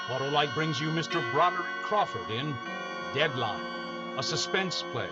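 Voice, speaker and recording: Man as an ominous announcer over loud music. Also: on the high side, lively intonation, moderate pace, natural voice. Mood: portentous. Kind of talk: 1950s radio announcing. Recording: muffled, loud music underneath.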